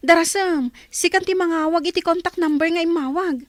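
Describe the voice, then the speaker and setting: A pushy young woman in an Ilocano radio play, with an Ilocano accent. Her voice is very high and dry, and she nags insistently over faint music. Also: a little fast, no room sound. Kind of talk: radio drama acting.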